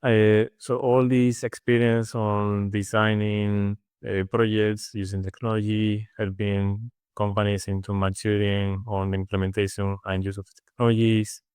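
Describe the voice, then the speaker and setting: A man from Spain with a Spanish accent, answering interview questions in a halting way with many fillers and restarts. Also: fairly low pitch, darkish tone, clean tone, no echo. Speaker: interview guest. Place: studio. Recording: dry studio sound.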